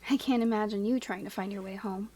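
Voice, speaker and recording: A woman playing a proud, commanding yeti woman in a scripted ASMR roleplay, speaking in an imperious, measured and formal way. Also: medium pitch, steady tone, darkish tone, breathy edge, natural voice. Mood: aloof, intrigued.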